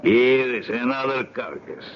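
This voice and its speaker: An actor playing a sinister grave robber in a radio horror drama. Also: male voice, medium pitch, measured pace, close to an everyday voice. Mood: grimly satisfied. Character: sinister grave robber serving a Caribbean witch doctor. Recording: muffled, noisy old radio recording.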